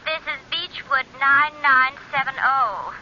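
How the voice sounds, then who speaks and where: A brisk, impersonal woman on the telephone in a radio play. Her voice is high and piercingly bright. The 1940s recording is muffled, with faint noise. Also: full character voice. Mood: formal. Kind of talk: 1940s radio drama acting.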